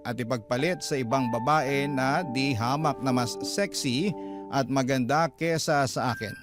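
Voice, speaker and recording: A man's voice: mid-range voice, a little slow. A Filipino man hosting a radio drama, reading a listener's letter as dramatic scripted narration. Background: music behind the voice.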